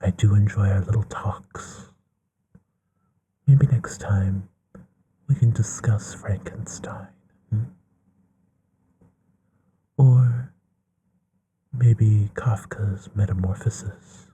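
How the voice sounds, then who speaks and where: A genteel, bookish vampire, acted by a man, speaks in a low, very breathy hush that often drops to a whisper. His delivery is soft, mellow and slow, musing tenderly with long pauses, as an intimate ASMR bedtime roleplay. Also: heavily acted. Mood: tender, soothing. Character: courtly, bookish male vampire, gently affectionate.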